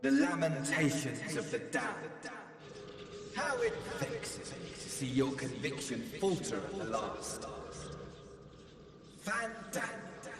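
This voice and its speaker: An actor playing a scornful, lofty damned villain in a video game, taunting in archaic, drawn-out phrases. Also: male voice, medium pitch, huge pitch swings, music in the background, full character voice. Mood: mocking, scornful. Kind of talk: dramatic video game dialogue. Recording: distant, reverberant hall with ambient noise.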